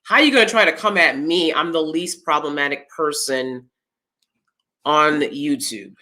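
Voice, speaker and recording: A woman with a loud, clear voice giving her opinions in a casual vlog, blunt and emphatic as she speaks straight to viewers. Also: sits low, measured pace. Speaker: YouTube commentator.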